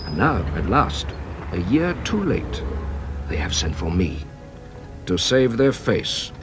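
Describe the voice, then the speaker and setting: An actor playing a shrewd, playful French police inspector in a British radio drama, with a French accent and a teasing, theatrical delivery. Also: male voice, on the low side, sing-song, takes it slowly, music in the background. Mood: grave, dramatic.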